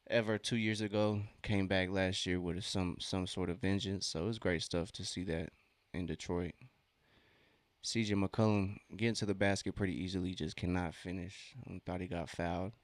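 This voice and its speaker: Low-voiced thirty-year-old man, casual sports streamer. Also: no echo. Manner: laid-back, slangy. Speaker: livestream watch-along host. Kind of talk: casual sports commentary and chat with viewers.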